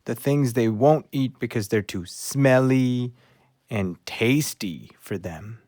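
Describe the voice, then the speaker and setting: Man voicing small devoted dog, audio drama, earnest, measured storytelling, unhurried, dry close sound. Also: mid-range voice, darkish tone, no echo, natural voice. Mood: relishing. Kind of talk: audio play monologue.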